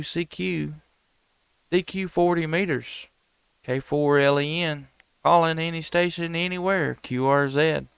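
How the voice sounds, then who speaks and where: A man from talks slowly in a smooth voice with some fry in it. He is a folksy, friendly ham radio operator, chatting casually and calling stations on a live stream. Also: a little high, dry recording. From United States.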